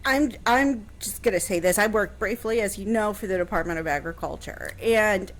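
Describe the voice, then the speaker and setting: A woman's voice: medium pitch, rough recording. A woman co-hosting a casual radio talk show, reacting readily and sharing her own experiences. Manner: chatty and responsive, quick to react. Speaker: radio co-host.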